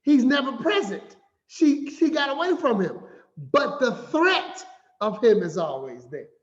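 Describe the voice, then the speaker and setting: A man giving a finance talk as an animated, playful storyteller who acts out quotes. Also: extremely high pitch, measured pace. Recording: echoey room, slightly dull sound.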